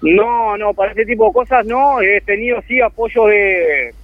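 A man's voice: sits high, unhurried, dry recording. Earnest Uruguayan man on a tinny phone line. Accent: Uruguayan Spanish. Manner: earnest, heartfelt, rambling. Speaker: phone-in guest, charity volunteer. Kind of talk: casual radio interview over the phone. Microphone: telephone.

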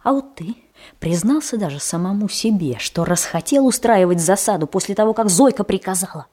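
A woman's voice: medium pitch, lively intonation, fairly quick, mellow, natural voice. A woman playing an earnest, stubborn schoolboy in a Soviet children's radio play, talking in an insistent, conspiratorial way. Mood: secretive, determined.

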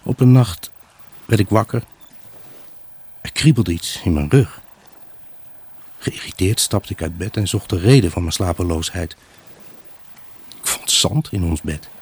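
A man's voice: low voice, measured pace, long pauses, a little mellow, husky. A man acting a troubled, brooding husband who tells of his unhappy marriage in a radio play monologue. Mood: reflective, weary. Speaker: actor narrating a radio drama. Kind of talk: dramatic first-person monologue in a Dutch radio play.

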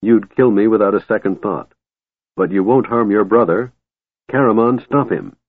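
A man's voice: fairly low pitch, moderate pace, no room sound, phone-quality sound, natural voice. A man voicing a hot-tempered, desperate half-elf leader in a storybook, speaking with firm, demanding urgency.

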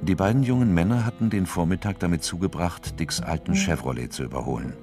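A man narrating a radio crime drama from a script in a measured, sober, factual way. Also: deep voice. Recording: faint music behind.

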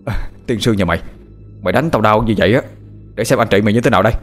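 Guy as a cocky older brother, teasing, blunt, lowish voice with music behind. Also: rapid-fire, lightly acted. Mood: mock-angry.

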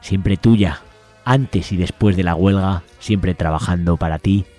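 A man's voice: deep voice, a little slow, darkish tone, soft background music. A man voicing a pompous, hungover female agony aunt in a comic radio parody, grandiose and gushing.

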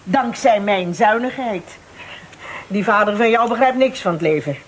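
An actress playing a thrifty, condescending old aunt in a Dutch radio play, sharp-tongued and coaxing by turns. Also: female voice, medium pitch, expressive, normal speed, a little hiss or hum, natural voice. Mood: smug, belittling. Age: older.

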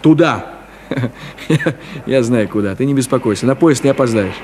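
Actor as brisk, chatty middle-aged man, street noise behind. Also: mid-range voice, lively intonation, a little mellow, natural voice. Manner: bantering, chatty, bossy, colloquial. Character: brisk, talkative middle-aged man, a hospitable city driver. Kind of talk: naturalistic 1970s Soviet radio play acting. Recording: radio play with clear background noise. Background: car and street traffic ambience.

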